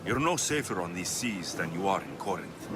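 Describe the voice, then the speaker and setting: An actor playing a confident heroic mercenary ship captain in a video game, assured and direct. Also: male voice, deep voice, very animated, moderate pace, very noisy. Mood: firm. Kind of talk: video game dialogue acting.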